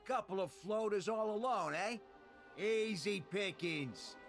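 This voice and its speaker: Slow, high-pitched menacing scrapper thug. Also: male voice, fairly bright tone. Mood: menacing glee. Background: music.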